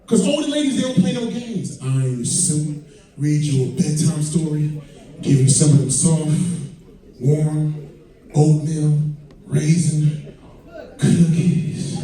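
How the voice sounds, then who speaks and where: A man's voice: a little high, warm tone. A man in his mid-forties performing stand-up comedy to an audience, with profane, conversational storytelling. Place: indoor comedy venue. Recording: very echoey live room, distant mic.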